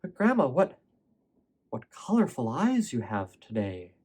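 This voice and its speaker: A man's voice: mid-range voice, wildly swooping pitch, unhurried, darkish tone, slightly rough, heavily acted. A man voicing an innocent young girl from a storybook, speaking in a light, hesitant, wondering way. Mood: wary wonder. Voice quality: light, raised voice. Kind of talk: storybook character voice in oral storytelling.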